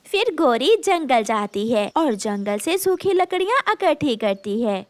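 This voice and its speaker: A woman's voice: sits high, big pitch swings, measured pace, no room sound. A woman narrating a scripted children's story.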